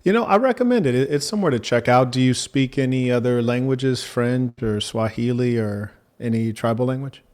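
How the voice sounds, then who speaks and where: A man hosting a debate, speaking in a measured, formal manner and asking probing questions. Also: medium pitch, darkish tone. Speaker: debate host.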